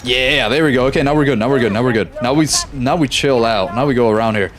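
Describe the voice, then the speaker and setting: A young man live-streaming a shooter game, chatting casually with his viewers and reacting quickly during fights. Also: mid-range voice, slight background noise. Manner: easygoing, chatty, reads chat aloud, quick reactions mid-fight. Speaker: gaming streamer. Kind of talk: casual live-stream chat while playing.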